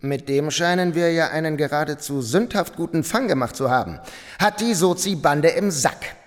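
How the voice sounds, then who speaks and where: An actor playing a cynical, smooth-talking army major and adjutant in a radio drama, polite and wry. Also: male voice, on the high side, normal speed, natural voice. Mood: gloating, wry. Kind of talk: German radio drama acting. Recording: long reverberant hall.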